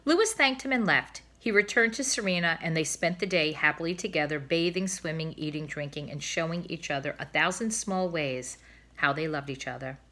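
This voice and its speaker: A woman reading a children's storybook aloud in an expressive way, as a teacher would. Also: deep voice, fairly quick.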